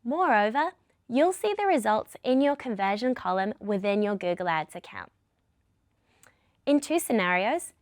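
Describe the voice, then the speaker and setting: A woman's voice: on the high side, no echo, studio quality. A woman with a New Zealand accent giving a scripted business training presentation as a product lead.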